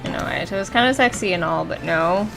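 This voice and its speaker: A woman's voice: on the low side, quick pace, creaky. A woman game streamer chatting casually and swearing often as she plays. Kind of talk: casual chat while streaming a video game. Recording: music in the background. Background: music.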